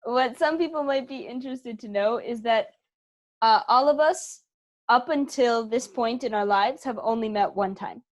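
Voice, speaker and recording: Young woman chatting in an interview, kinda high voice, really emphatic. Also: normal speed. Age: young adult. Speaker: interview guest, theatre company co-founder. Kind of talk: conversational interview answers.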